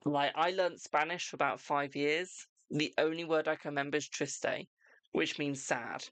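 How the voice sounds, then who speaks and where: A British tarot reader hosting a live stream, chatting casually and answering viewers' questions with a brisk "my darling". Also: medium pitch, steady tone, a bit bright, dry recording. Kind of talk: casual live-stream chat and card readings.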